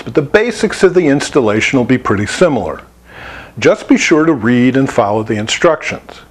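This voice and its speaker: A man giving a scripted instructional narration, explaining each step plainly as an instructor. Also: on the high side. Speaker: instructor presenting an installation tutorial.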